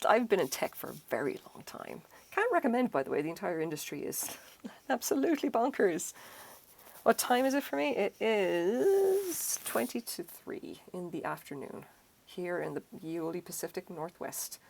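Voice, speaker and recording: A woman's voice: on the low side, gravelly, a little mellow. A middle-aged woman with an Irish accent, chatting casually and rambling while she crochets on a live stream. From Ireland. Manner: chatty, self-deprecating, rambling asides.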